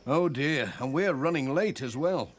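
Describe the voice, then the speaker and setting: A man's voice: a little high, lively intonation, a little slow. A man voicing a mild, fretful village postman in a children's cartoon, with an English accent. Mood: worried. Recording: vehicle noise behind.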